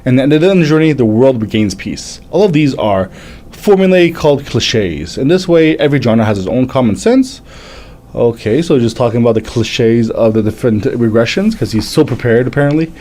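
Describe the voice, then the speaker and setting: A man in his thirties whose first language is French, hosting a casual live manga reading and chat, halting and stumbling as he reads aloud. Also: medium pitch, lively intonation, warm tone. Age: 30s. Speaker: livestream host, manga reviewer.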